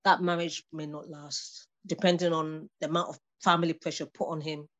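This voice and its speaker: A woman's voice: deep voice, flat delivery, brisk. A woman in her fifties chatting thoughtfully in a casual conversation. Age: 50s. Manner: thoughtful, laughs between points. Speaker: discussion participant.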